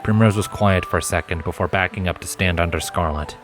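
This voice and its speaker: A man's voice: sits low, fairly even intonation, fairly quick. A man reading scripted story narration as an audiobook narrator. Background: background music.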